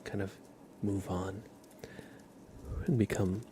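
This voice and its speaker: A man hosting an ASMR livestream, whispering softly and slowly to his viewers in chat, close to the microphone. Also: low-pitched, little pitch movement, background noise. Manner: soft, slow, unhurried, chatting to viewers. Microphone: close microphone, leaned into.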